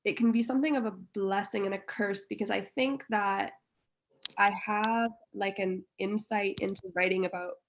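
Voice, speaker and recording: A woman's voice: mid-range voice, steady tone, moderate pace, noisy background. A young woman from Barbados, a writer on a panel, speaking thoughtfully with hedges and restarts over a video call from a room at home. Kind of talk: casual panel conversation.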